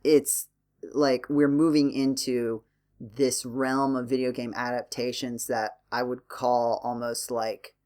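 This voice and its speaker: Man, podcast host, mid-range pitch, casual conversational delivery, self-correcting explanations. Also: level tone, slow, darkish tone.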